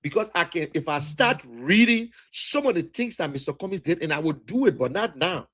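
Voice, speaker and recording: A Liberian man speaks with a Liberian English accent in a husky, high-set voice. His pitch swings widely as he argues a political point, hammering phrases over and over with a preacher-like rhythm. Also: animated, brisk, fairly bright tone. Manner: emphatic, repetitive, preacher-like cadence. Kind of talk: impassioned political talk.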